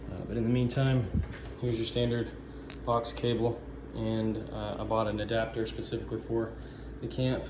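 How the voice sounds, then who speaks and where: A man giving an informal instructional walkthrough of equipment, explaining in a halting, improvised way. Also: lowish voice, flat delivery. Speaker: sound system installer giving a walkthrough. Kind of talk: informal instructional demo narration. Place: indoor camp office. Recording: echoey room, noisy ambient background.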